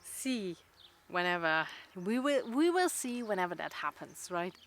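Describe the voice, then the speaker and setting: A woman's voice: lowish voice, big pitch swings, measured pace, fairly bright tone. A woman from Luxembourg with a Luxembourgish accent, whose first language is Luxembourgish, chatting casually to camera in a rambling, hesitant way with many fillers and restarts. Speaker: vlogger.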